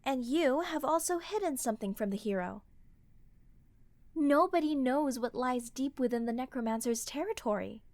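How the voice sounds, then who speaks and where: A woman's voice: sits high, animated, moderate pace, darkish tone, dry recording. A woman playing an earnest, courteous, principled royal ruler in a fantasy audio roleplay, speaking formally and politely. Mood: firm, guarded.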